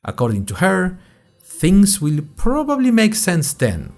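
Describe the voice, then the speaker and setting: A man's voice: high-pitched, huge pitch swings, a little slow, darkish tone, faint music behind, heavily acted. Man playing an anxious, wry freshman podcaster. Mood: bemused. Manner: rambling, wry asides, self-conscious. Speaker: narrator and host of a fiction podcast. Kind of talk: scripted first-person audio drama monologue.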